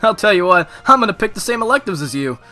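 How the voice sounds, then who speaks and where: Man playing an excitable, high-voiced teenage boy. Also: fast talker, soft background music, exaggerated voice. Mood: excited, teasing. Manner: chummy, teasing.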